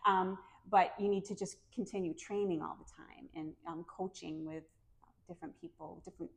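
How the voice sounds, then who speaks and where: A middle-aged woman with a New England American accent, a calm and soft-spoken podcast guest chatting casually and laughing often. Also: lowish voice, moderate pace, gravelly. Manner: friendly, laughs often, many fillers. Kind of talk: casual interview chat.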